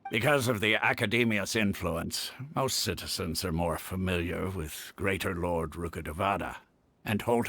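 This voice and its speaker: An actor playing a frank, hearty older retired mercenary advisor in a video game, plain-spoken. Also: male voice, fairly low pitch, a bit bright.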